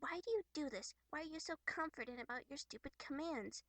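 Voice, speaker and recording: A woman's voice: high-pitched, lively intonation, fairly quick, fairly bright tone, no echo, pitched above the performer's own voice. A woman voicing a playful, defiant young troll girl from a webcomic, theatrical and teasing. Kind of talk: amateur character voice acting from a webcomic.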